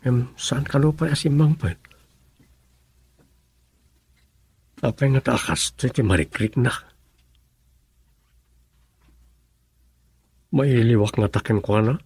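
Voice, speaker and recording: Radio drama casting: earnest Ilocano farmer, mid-range husky male voice, lovelorn, very dry studio sound. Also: mid-range voice, brisk, a little mellow, no room sound. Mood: troubled. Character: earnest, hard-working young farmer from the province, lovelorn.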